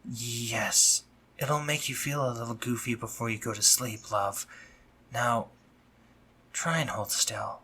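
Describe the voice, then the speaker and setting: A man playing a doting, dominant spider-monster doctor in an intimate roleplay audio drama, husky and breathy, speaking tenderly yet commandingly. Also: medium pitch, pushed and bright, heavily acted. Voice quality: husky, breathy, sometimes whispering.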